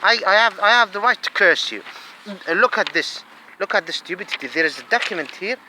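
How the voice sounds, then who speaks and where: A man from Saudi Arabia with a Saudi Arabian accent, delivering an agitated ranting monologue that swings between low muttering and loud outbursts. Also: expressive, brisk, noisy background.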